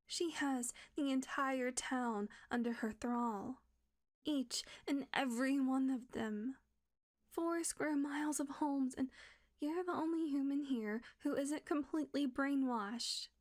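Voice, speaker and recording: A woman acting a tense female vampire who switches between hushed whispers and cursing outbursts in an audio roleplay. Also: high voice. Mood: tense, insistent.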